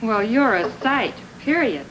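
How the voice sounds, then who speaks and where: Wisecracking waitress, breezy, highish voice, vintage radio play, light noise. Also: female voice, sing-song, a little slow. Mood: breezy, chatty. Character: breezy, quick-witted waitress. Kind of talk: film noir radio drama acting. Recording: noisy vintage radio recording.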